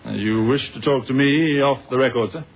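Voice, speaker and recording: An actor playing a calm, courteous British police inspector, questioning in a deliberate, sceptical way in an old-fashioned radio drama. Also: male voice, medium pitch, slightly rough. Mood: reassuring. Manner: measured, polite, deliberate questioning. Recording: tinny, noisy old radio recording.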